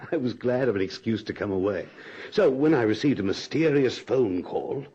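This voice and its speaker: An actor playing a direct, talkative, self-assured suitor in a radio drama, teasing and persistent. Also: male voice, mid-range voice, lively intonation, normal speed, dark tone, slightly rough. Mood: flirtatious, breezy. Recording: dull lo-fi old radio recording.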